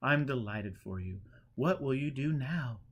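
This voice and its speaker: A man reading an audiobook, voicing a small, kindly, serene holy man who speaks gently and plainly. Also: medium pitch, animated, unhurried. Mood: calm, kindly. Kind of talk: audiobook character voice.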